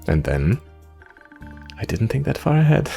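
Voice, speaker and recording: A man's voice: mid-range voice, big pitch swings, measured pace, creaky, dark tone, voice pushed up. A man voicing an anxious, self-doubting young adventurer from the forest, stammering and rambling in a visual novel. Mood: rambling, flustered. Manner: nervous, hesitant stammering and rambling. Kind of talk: visual novel character read-aloud. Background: music.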